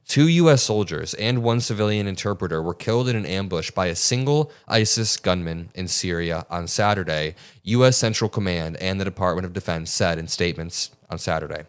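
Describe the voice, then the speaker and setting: Low-voiced man giving earnest news commentary. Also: lively intonation, fairly quick, few pauses, clean tone. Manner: earnest, emphatic, argumentative. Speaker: political commentator.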